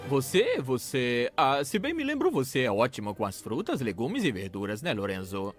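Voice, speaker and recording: A man playing a kindly, absent-minded workshop master in an animated film dub, expressive, with a Brazilian accent. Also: mid-range voice, moderate pace, soft background music. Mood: cheerfully scatterbrained. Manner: animated, expressive, muttering while thinking.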